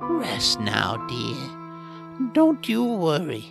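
A slow creaky old grandma, fussing and doting, fantasy audio drama. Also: female voice, low voice, huge pitch swings, faint music behind, heavily acted. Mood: tender, fussing. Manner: tender, fussing, coddling.

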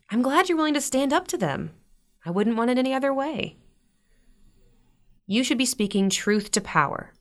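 A woman playing a warm, gently teasing middle-aged mother in a naturalistic audio drama, giving earnest advice. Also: mid-range voice.

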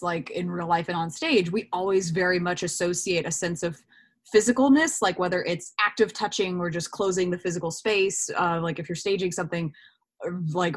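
Low-pitched woman chatting in an interview. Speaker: interview guest, actor. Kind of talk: casual conversational interview.

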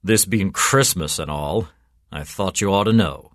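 A man voicing a cynical, gruff police sergeant in a story, clipped and sardonic in his delivery. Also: low-pitched, measured pace, fairly bright tone, no echo, studio quality. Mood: gruff, dismissive. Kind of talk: storytelling character voice.

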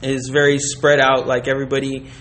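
A man answering as an interview guest in a casual chat, thinking aloud in hesitant, halting phrases. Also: medium pitch, monotone, fairly bright tone. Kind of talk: casual chat about personal experience.

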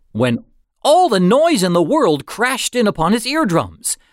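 A man reading a short story aloud as an audiobook narrator, with an expressive, suspenseful storytelling delivery. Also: mid-range voice, dry recording, clean studio sound. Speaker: audiobook reader.

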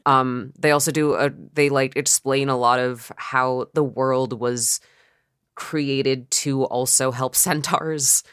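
A podcast co-host chatting casually and informally, haltingly circling back mid-thought. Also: medium pitch, steady tone, fairly bright tone, smooth, dry recording, studio quality. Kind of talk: casual chat.

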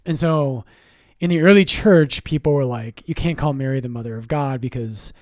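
Priest chatting on a podcast, laid back, cracks jokes, dry close sound. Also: male voice, mid-range voice, normal speed, no room sound. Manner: relaxed, explains doctrine with jokes and self-corrections. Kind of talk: casual podcast chat.

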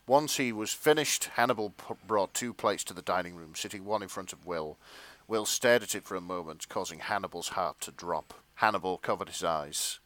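A man's voice: fairly low pitch, fairly bright tone. A young man, narrating a comic dramatic reading of fan fiction.

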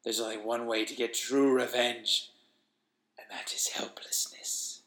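A man voicing a sinister, vengeful creature in a horror story, with a croaking, wheezing, crackling voice and labored, menacing delivery. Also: mid-range voice, level tone, fairly bright tone, echoey room, natural voice. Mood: bitter, vengeful. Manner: slow, labored, menacing speech. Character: sinister, vengeful hollow-eyed creature lurking in a chapel.

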